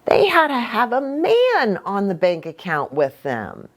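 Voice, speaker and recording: A woman's voice: mid-range voice, wildly swooping pitch, unhurried. An American woman preacher explaining things simply to children as a story-time host.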